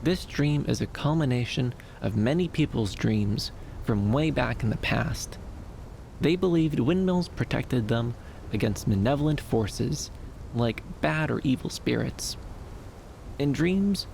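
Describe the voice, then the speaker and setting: A man playing a gentle, shy, dreamy young femboy in an ASMR roleplay, soft-spoken and hesitant, soothing, with lilting upturns. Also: medium pitch, vocal fry, slight background noise, rough recording.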